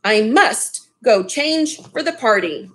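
A greedy rich wife from a storybook, acted out by a woman reader, bossy and imperious. Her voice is pitched high and moves in big swoops. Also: lively intonation, slow pace. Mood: commanding.

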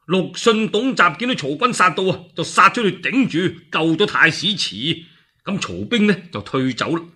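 Male radio storyteller, Cantonese accent, historical epic. Highly animated, forceful, colloquial delivery. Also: moderate pace, slightly rough. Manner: animated, colloquial, acts out dialogue. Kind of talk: dramatic solo radio storytelling of a historical novel.

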